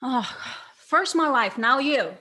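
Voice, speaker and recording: A woman's voice: sits high, animated, takes it slowly, bright, forceful tone, husky, full character voice. A woman playing a blunt, combative police detective in her forties in a dramatic script read. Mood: defensive. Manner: combative, outspoken. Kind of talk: screenplay table read, dramatic acting.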